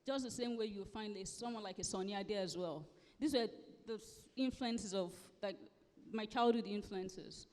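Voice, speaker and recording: A woman's voice: mid-range voice, moderate pace, hardly any pauses, a little mellow. A woman with a Nigerian accent, around forty, speaking emphatically as a guest author in a live conversational interview, often repeating phrases for stress. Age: late thirties to forties. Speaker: guest author at a book festival. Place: live festival event hall. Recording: echoey hall with long reverb.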